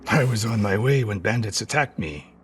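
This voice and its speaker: An actor playing a stern, proud older samurai archery master in a video game, speaking in a measured, haughty, sardonic way. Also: male voice, on the low side, animated, slight background noise, close to an everyday voice. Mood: grave, measured. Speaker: archery teacher. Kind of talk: dramatic video game acting. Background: background music.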